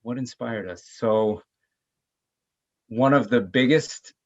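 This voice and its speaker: A man's voice: medium pitch, takes it slowly, highs cut off. A man musician being interviewed, talking in a laid-back, hesitant way with ums. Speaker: band bassist, interview guest. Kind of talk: casual interview chat.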